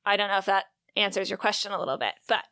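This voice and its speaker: A woman's voice: medium pitch, rapid-fire, bright, forceful tone, no echo. A woman co-hosting a casual book discussion podcast, explaining things in an earnest, emphatic way. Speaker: podcast co-host.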